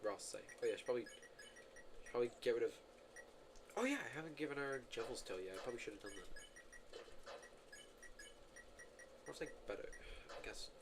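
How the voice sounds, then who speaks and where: A man's voice: medium pitch, very animated, normal speed, long pauses, background noise. A man streaming a video game, giving casual, rambling commentary full of filler words and self-corrections. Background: game music.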